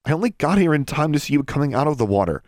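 A man's voice: fairly high pitch, sing-song, rapid-fire, darkish tone, no echo, studio quality, pitched above the performer's own voice. A man voicing an exasperated teenage boy who talks bluntly to a friend.

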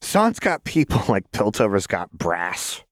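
A man voicing a manic, brash young woman saboteur in an audiobook, with a shrill, slangy, rambling delivery. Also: big pitch swings, a little slow, no room sound, studio quality. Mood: manic glee.

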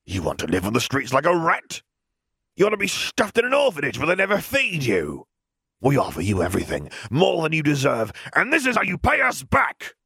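A furious middle-aged uncle from a storybook, acted by a male narrator, ranting with open contempt. His voice climbs well above the reader's low register into a bullying tirade, in a close, dry recording. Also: huge pitch swings, fairly quick, vocal fry, sharp tone, husky, dry recording, full character voice.

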